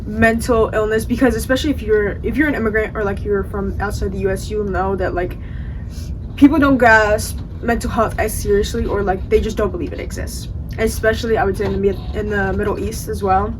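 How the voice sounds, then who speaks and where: A woman's voice: medium pitch, fairly even intonation, fairly quick. A sixteen-year-old girl from Egypt co-hosting a podcast, talking earnestly with frequent fillers. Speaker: podcast co-host. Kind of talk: casual chat. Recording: distant mic with clear background noise.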